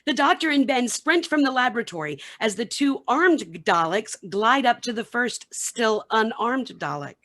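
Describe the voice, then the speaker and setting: A woman's voice: fairly high pitch, lively intonation, moderate pace, few pauses, a bit bright. A woman giving scripted narration for a radio play, reading out the action between scenes.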